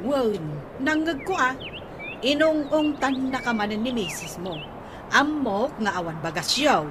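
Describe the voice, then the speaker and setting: A woman's voice: high-pitched, expressive, measured pace, background noise. A woman playing a protective, indignant, gossipy older sister in an Ilocano comedy radio drama, speaking emphatically.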